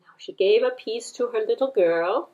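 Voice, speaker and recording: A woman puppeteer telling a children's story in an animated, deliberate way, with repetition and conversational asides. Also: on the high side, dull audio.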